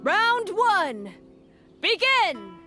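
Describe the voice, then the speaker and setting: A woman playing a showy tournament announcer in an anime-style game, declaiming grandly with drawn-out words. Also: extremely high pitch, sing-song, sharp tone, faint music behind, exaggerated voice. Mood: grand, rousing.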